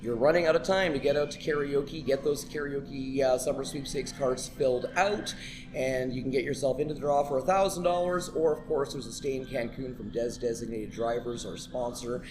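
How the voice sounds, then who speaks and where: A man's voice: mid-range voice, moderate pace, over music. A man from Canada co-hosting a casual sports chat in a pub, with a scratchy throat and an enthusiastic, chatty, promotional way of talking. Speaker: co-host and karaoke host.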